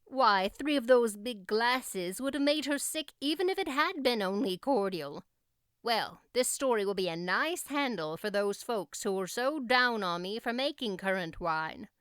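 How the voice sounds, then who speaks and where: A woman voicing a stern, practical but gruffly kind older female guardian in an audiobook, with a brisk, curt manner. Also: a little high, expressive, a bit bright, dry recording, studio quality. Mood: brisk, exasperated. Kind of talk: audiobook character acting.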